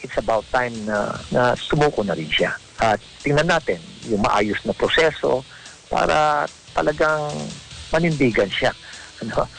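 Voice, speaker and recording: A man giving his opinion conversationally on radio, in measured and somewhat hesitant phrases. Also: mid-range voice, expressive, gravelly, fairly bright tone, faint music behind, low-quality recording.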